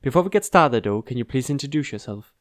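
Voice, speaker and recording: A man's voice: mid-range voice, expressive, fast talker, a little hiss or hum, lightly acted. A man playing a courteous, encouraging board interviewer in an amateur audio drama, speaking formally. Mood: courteous, impressed.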